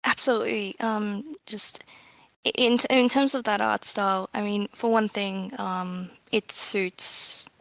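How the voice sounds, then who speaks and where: A woman's voice: mid-range voice, fairly even intonation, unhurried, vocal fry, no echo. Hesitant, thoughtful woman in a dry recording. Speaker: game developer being interviewed. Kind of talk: casual interview chat.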